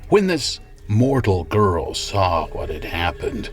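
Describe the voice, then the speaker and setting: A man's voice: low-pitched, wildly swooping pitch, soft background music, full character voice. A man playing a domineering, menacing scientist tycoon in a radio drama, speaking in an imperious, sardonic way. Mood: ominous storytelling. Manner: imperious, deliberate storyteller, sardonic.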